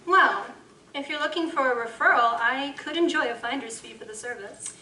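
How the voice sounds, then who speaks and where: A woman's voice: high-pitched, normal speed, bright, forceful tone. An actress playing a sly, streetwise woman of the night in a live audio drama, speaking in a teasing, knowing manner. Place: live convention stage. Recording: echoey stage from far off, faint noise.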